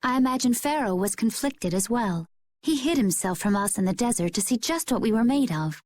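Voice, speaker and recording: An actress playing a composed young woman adventurer in a video game, with measured, polished and subtly teasing delivery. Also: a little high, lively intonation, darkish tone, no room sound. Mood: calm. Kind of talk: video game cutscene acting.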